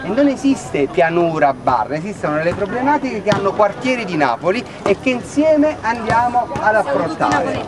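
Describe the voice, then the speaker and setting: A man in his thirties with a fairly high voice speaks to a crowd in a city square at night. He talks earnestly, repeats himself and throws out rhetorical questions. A camera mic catches him from a few steps away, with a murmuring crowd and passing traffic behind him. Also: sing-song, moderate pace, hardly any pauses. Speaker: activist at a public meeting. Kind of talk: impassioned speech to a crowd. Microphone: far camera.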